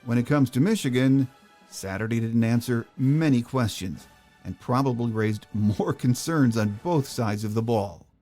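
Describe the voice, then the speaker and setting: A man reads scripted podcast narration as the host. His pitch sits in a middle range, with faint music playing underneath. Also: a little slow, warm tone.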